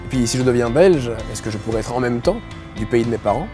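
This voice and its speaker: A man giving a scripted narration in an expressive, rhetorical way, asking question after question. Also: mid-range voice, fast talker. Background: guitar music.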